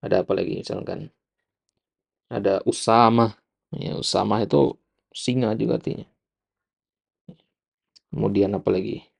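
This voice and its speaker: Casting: male religious studies lecturer, Indonesian accent, lowish register, very gravelly creak, didactic and repetitive delivery. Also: steady tone, normal speed, long pauses. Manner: didactic, repetitive, frequent short check words. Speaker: Islamic studies teacher. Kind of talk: explanatory religious lecture, reading and glossing an Arabic text.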